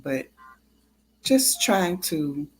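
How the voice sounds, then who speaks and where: A livestream host talking casually and haltingly, with drawn-out pauses between phrases. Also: medium pitch, big pitch swings, soft background music, rough recording.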